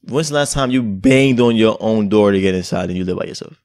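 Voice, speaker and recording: A man's voice: lowish voice, a little fast, darkish tone, no echo. A young man co-hosting a podcast in a casual, laid-back, joking chat. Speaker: podcast co-host.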